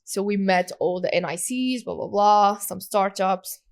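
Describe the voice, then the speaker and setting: A woman from Morocco, in her thirties, talks as a guest on an interview podcast. Her pitch sits in the middle range. She keeps a candid, conversational flow that is full of fillers and self-corrections. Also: unhurried. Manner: candid, many fillers and restarts. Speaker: guest entrepreneur.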